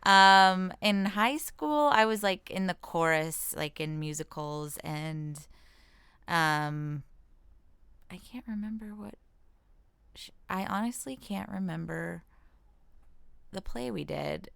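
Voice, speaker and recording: A woman's voice: fairly low pitch, animated, slow, creaky, pauses often, a bit bright, clear, resonant tone, no echo. An American woman chatting casually as an interview guest, informal and self-deprecating, thinking aloud as she goes. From Washington state, United States. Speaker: comedian and actress, interview guest. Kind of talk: casual chat.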